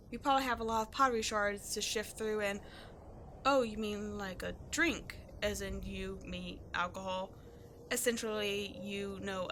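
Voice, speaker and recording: A woman acting in a roleplay audio drama as a brash young tomboy archaeologist with a soft side, animated, slangy and teasing. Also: mid-range voice, a little hiss or hum. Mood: shy, flustered.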